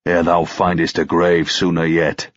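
Man acting a proud, gruff medieval knight. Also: deep voice, little pitch movement, unhurried, highs cut off. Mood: furious. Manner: harsh, brusque, archaic courtly speech. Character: proud, stern, gruff young-to-middle-aged male knight.

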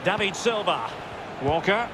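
High-voiced football commentator. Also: male voice, expressive. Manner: brisk, names players as play unfolds. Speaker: play-by-play commentator. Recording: game audio with loud crowd noise.

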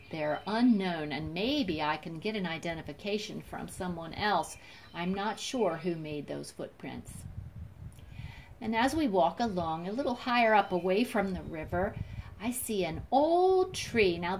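Woman doing kids nature storytime, takes it slow, asks the listeners questions, bit of background noise. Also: medium pitch. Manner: simple explanations with questions to listeners. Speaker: children's storytime host and nature guide. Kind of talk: storytime narration for young children.

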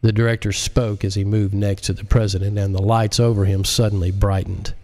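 A man's voice: fairly low pitch, flat delivery, brisk, mellow. A man reading his own novel as an audiobook narrator, in scripted storytelling style.

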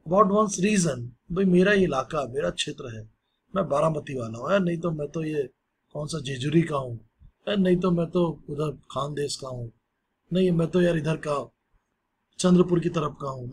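A male teacher explaining a social studies lesson in a relaxed, conversational way, mixing in English phrases. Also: a little high, normal speed, a little hiss or hum.

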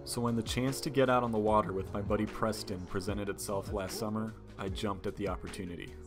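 A man's voice: fairly low pitch, steady tone, a little fast, music in the background. A man reading a scripted voice-over narration for an outdoor travel video. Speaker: narrator, vlogger.

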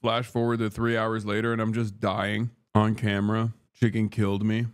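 A man's voice: lowish voice, completely flat delivery, moderate pace, smooth. Brash man streaming while eating. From Turkey. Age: 30s. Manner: brash, profane, joking, talks with food in mouth. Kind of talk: casual livestream chat while eating. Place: small wood-paneled room, indoors. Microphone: studio mic on boom arm close to face.

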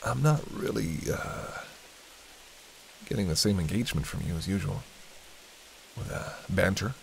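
A man's voice: low-pitched, measured pace, gravelly, exaggerated voice, rough recording. A man playing a sardonic, teasing vampire in an intimate roleplay, talking in a conversational, bantering way with dry sarcasm. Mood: musing, bantering. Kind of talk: intimate audio roleplay monologue. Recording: steady hiss and rain behind. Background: rain.

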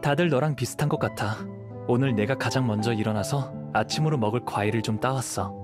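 A man playing a calm, thoughtful young wandering swordsman in a video game, soft, composed and gentle. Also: medium pitch, brisk, music in the background, natural voice. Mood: reflective.

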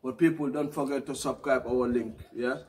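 A man's voice: mid-range voice, steady tone, normal speed, a little mellow. Man promoting on a noisy, distant phone. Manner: repetitive, slangy Patois phrasing. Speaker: music promoter and vlog host. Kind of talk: casual promotional vlog, repeated catchphrases. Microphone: phone camera a few metres away.

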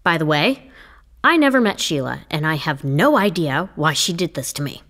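An actress playing an exasperated officiant trying to keep order at a memorial service. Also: female voice, low voice, moderate pace, heavily acted. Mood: somber. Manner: exasperated, stern, lively. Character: frazzled woman officiant losing control. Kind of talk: radio play acting.

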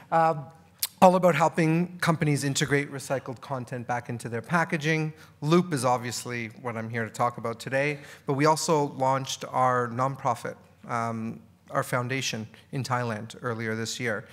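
A man's voice: medium pitch, a bit bright. A man giving a conference keynote in a loose, casual way, often repeating words and asking "right?". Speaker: keynote speaker. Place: conference hall.

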